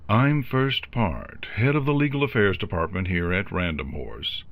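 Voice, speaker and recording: Guy doing smug unicorn lawyer, gravelly, formal and condescending, kinda dull lo-fi mic. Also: low voice, moderate pace. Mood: coolly accusing. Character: smooth-talking smug male unicorn corporate lawyer. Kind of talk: fan fiction audio drama.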